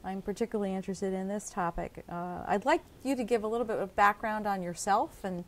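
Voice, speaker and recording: A woman's voice: medium pitch, brisk. A woman hosting a community access television interview, encouraging her guest with some hesitant fillers.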